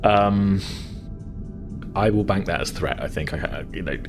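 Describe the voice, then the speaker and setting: A man improvising as game master in a tabletop game, explaining things in a halting, chatty way. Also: deep voice, creaky. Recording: music playing behind the voice. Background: background music.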